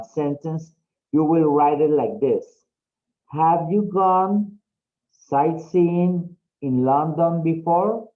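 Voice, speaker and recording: A man teaching an English class, explaining slowly and often checking in with "okay?". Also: a little high. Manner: slow, drawn-out, frequent "okay?" checks. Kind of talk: online class instruction. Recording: dull lo-fi audio.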